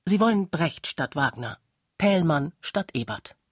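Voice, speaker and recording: A documentary narrator with a mid-pitched voice that has a husky grain. The delivery is scripted reading. The recording is dry, with no room sound. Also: lively intonation, measured pace, no room sound.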